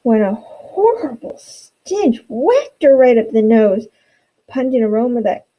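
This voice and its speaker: Woman, teacher, read-aloud lesson style, encouraging, breathy-husky tone, slightly dull recording. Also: on the high side, very animated, a little slow. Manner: encouraging, asks guiding questions. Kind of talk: instructional read-aloud for students.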